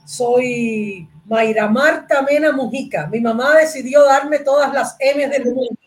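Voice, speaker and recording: Casting: Cuban woman, middle-aged, fairly high voice, academic podcast guest explaining her field. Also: measured pace, slight background noise. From Cuba. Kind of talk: interview explanation.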